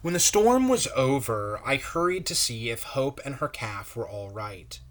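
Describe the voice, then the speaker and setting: A man, a pastor, reading a children's story aloud in a measured, expressive way. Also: medium pitch.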